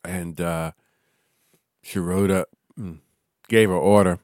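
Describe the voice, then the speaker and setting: A man's voice: low-pitched, fairly even intonation, takes it slowly, darkish tone, no room sound, studio quality. A man co-hosting a casual podcast, joking and telling stories, sometimes acting out the voices of people in them. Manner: jokey, storytelling, acting out voices. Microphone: studio microphone.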